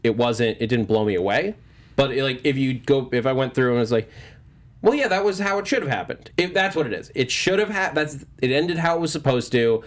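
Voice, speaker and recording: A man's voice: medium pitch, lively intonation, a little fast. A man hosting a panel show, chatting informally and jokily in a loose, rambling way. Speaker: host of a movie news panel show. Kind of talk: casual panel discussion of comic-book films.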